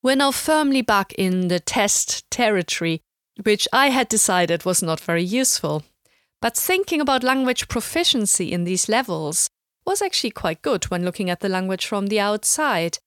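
A woman whose first language is German, calmly explaining language levels in a reflective podcast monologue and slowing down to spell out terms. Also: mid-range voice, dry recording, studio quality. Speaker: language learner.

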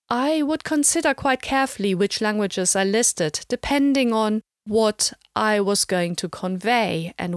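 A woman, German her first language, talks through her language learning in an unhurried, explanatory way. Her voice sits a little low. The recording is close and dry, with no room sound. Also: dry recording. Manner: measured, explanatory, slows to spell out terms. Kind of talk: reflective podcast narration.

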